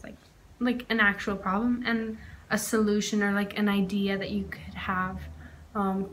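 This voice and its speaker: Young woman guest from Greece, mid pitch, loose and filler-heavy, laptop mic at home. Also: slight background noise, low-quality recording. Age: young adult. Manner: rambling, lots of "like" and "um". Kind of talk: casual chat. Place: small indoor room at home.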